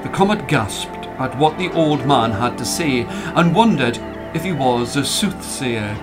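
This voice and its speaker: A man's voice: medium pitch, expressive, measured pace. A man narrating a scripted story as a dramatic storyteller. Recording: music playing under the voice.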